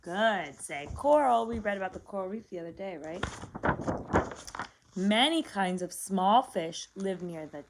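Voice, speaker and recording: A woman's voice: medium pitch, expressive, unhurried, very noisy. A woman reading a picture book aloud as a lesson for young children, explaining simply, asking questions and repeating words.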